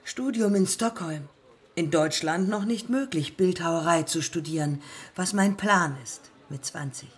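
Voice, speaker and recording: An actress playing a proud, determined woman of early aviation in a radio play, speaking husky and creaky in terse, deliberate phrases. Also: fairly low pitch. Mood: resolute. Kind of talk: radio play monologue and dialogue.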